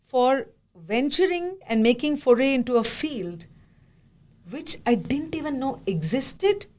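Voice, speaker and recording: A woman hosting a podcast, telling a story with animated energy and frequent laughter. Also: sits high, measured pace. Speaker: podcast host. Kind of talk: podcast conversation.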